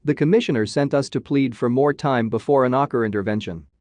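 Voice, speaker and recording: A man's voice: mid-range voice, a little fast, a little mellow, no echo, lightly acted. A man playing a pragmatic, wry human space pilot in a video game, with a casual, dry and direct delivery. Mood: earnest.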